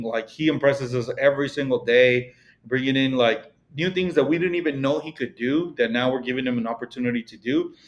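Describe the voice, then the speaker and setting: A middle-aged man with a Mexican accent, a podcast guest talking casually and rambling, with many "you know" and "like" fillers. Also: medium pitch. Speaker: podcast guest, real estate broker. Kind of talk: casual interview conversation.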